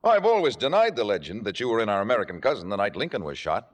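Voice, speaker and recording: A man's voice: on the low side, big pitch swings, quick pace. A man playing an exasperated, sardonic lover in his thirties in an old-time radio drama. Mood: mocking. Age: 30s. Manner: sardonic, emphatic. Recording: vintage 1940s-50s radio broadcast.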